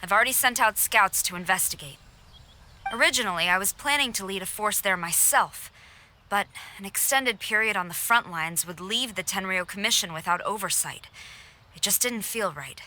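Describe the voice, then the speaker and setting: An actress playing a stern, dutiful female army general in a video game, speaking in a formal, measured, authoritative way. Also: medium pitch, pushed and bright, slight background noise, natural voice. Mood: grave, formal.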